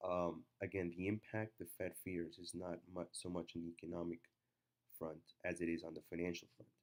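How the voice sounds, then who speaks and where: A man with a stuffy cold giving halting, unscripted market commentary with many fillers. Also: deep voice, little pitch movement, darkish tone.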